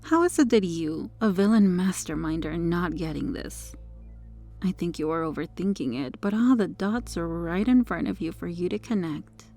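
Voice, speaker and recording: A smug, possessive superheroine played by a woman speaks in a mellow voice with plenty of creak at the edges. She is flirtatious and condescending, teasing her captive in an intimate ASMR roleplay. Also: lowish voice, expressive, normal speed, faint music behind. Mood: teasing condescension. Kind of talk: ASMR roleplay monologue addressed to the listener.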